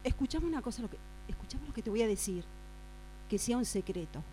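A woman acting a gossipy friend confiding secrets in a hushed, urgent way with an Argentine accent, in an improvised radio drama. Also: on the high side, expressive, brisk, natural voice, low-quality recording. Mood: secretive, excited. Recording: mains hum noise.

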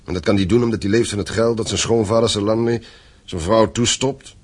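A man's voice: on the low side, steady tone, fairly quick, a little mellow, close to an everyday voice. An actor in a radio play playing a stern, domineering middle-aged father who owns a mine, commanding and reproachful. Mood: grave, admonishing. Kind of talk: Dutch radio play acting.

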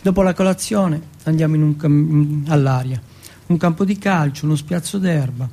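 Husky male storyteller with a creaky edge. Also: a little high, normal speed, warm tone. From Turin, Italy. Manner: streetwise, colloquial, short blunt sentences. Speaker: monologue performer. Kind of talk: first-person dramatic storytelling.

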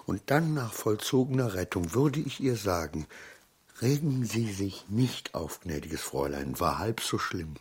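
Husky, anxious, muddled clerk. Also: male voice, fairly low pitch, big pitch swings, measured pace, full character voice. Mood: dreamy, smug. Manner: hesitant, muttering to himself, swinging from murmur to outburst. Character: anxious, muddled male clerk, brooding and self-persuading. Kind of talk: radio play inner monologue. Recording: studio radio play with music.

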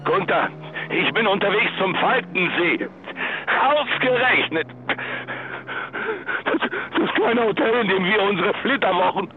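An actor in a radio drama playing a desperate, menacing yet lovesick husband, agitated and halting on a mobile phone call. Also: male voice, high-pitched, expressive. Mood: bitter, agitated. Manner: agitated, halting, repeats words. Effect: mobile phone filter. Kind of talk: German radio drama acting. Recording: noisy phone line from the road.

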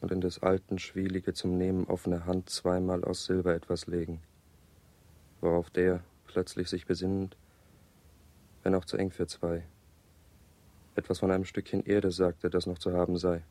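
Actor as a deep, monotone doomed young man. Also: close to an everyday voice. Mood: bleak, grave. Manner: measured, flat, grave delivery. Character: doomed young London man, bleak plague-victim narrator. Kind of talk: solemn 1960s German radio play narration.

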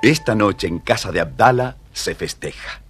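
A man narrating a scripted children's story in a measured, dramatic manner. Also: lowish voice, lively intonation, over loud music.

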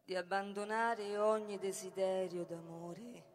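A woman's voice: medium pitch, flat delivery, unhurried, close to an everyday voice. An actress playing a solemn tragic woman, chanting like a chorus in a declamatory, incantatory theatre reading. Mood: grave, resolute. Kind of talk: poetic avant-garde theatre reading, half-sung incantation. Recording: long hall reverb, music behind.

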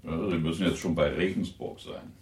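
A wry, genial older husband in a radio play, given to teasing and worrying aloud. The voice is very low and gravelly, rambling along with musing pauses. The recording is roomy, with faint noise behind it. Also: male voice, darkish tone. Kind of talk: German radio drama acting.

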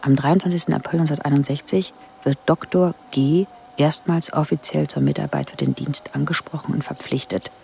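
An actress playing an earnest, quietly troubled woman investigating secret files in a radio drama, in a hushed, breathy voice. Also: low voice, steady tone, brisk, hardly any pauses, a little hiss or hum. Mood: studious, grave. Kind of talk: radio drama dialogue and reading aloud. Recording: studio radio drama with music underneath. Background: music.

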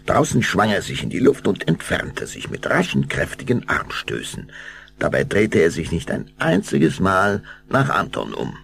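A man's voice: low voice, huge pitch swings, moderate pace, fairly bright tone. A man narrating a German children's audio drama as a scripted storyteller. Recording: clear background hum and noise.

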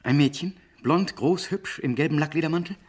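A man's voice: a little high, brisk, natural voice. A man playing a detective's troubled client in a radio crime drama, earnest, uneasy and a little hesitant. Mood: anxious, troubled.

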